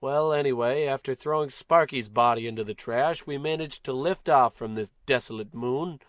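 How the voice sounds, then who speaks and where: A man's voice: medium pitch, normal speed, no echo, clean studio sound, close to an everyday voice. A man playing a pompous, blustering space captain in an old-time radio comedy, declaiming with great self-importance. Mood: solemn, grandiose.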